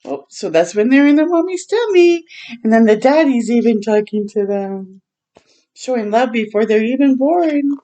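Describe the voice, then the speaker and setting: A woman hosting a storytime for children, reading a picture book aloud in a gentle way and pausing to chat and explain simply. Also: on the high side, animated. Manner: gentle, simple explaining to young listeners, with pauses to comment.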